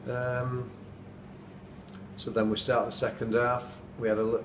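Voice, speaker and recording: Middle-aged man, football manager, earnest and flat, noisy room sound. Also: fairly low pitch, distant mic. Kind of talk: post-match interview. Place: indoors at night, dim room. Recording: echoey room, off-mic, noisy.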